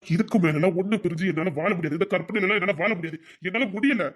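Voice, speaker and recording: A performer playing a possessive but tender young man in an audio romance drama, speaking softly and coaxingly. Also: medium pitch, racing, natural voice. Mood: pleading, insistent. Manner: soft, hushed, coaxing.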